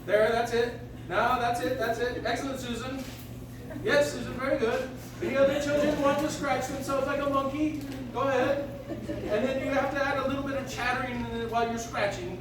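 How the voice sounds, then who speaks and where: Kindly, whimsical old gent played by a man for a stage radio play; high-pitched, jolly, distant noisy recording. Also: fairly even intonation, normal speed. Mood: playful, encouraging. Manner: jolly, encouraging, old-fashioned exclamations and chuckles. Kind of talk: live stage performance in 1940s radio-play manner. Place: live stage in a coffee house. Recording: noisy, echoey audience recording from far off.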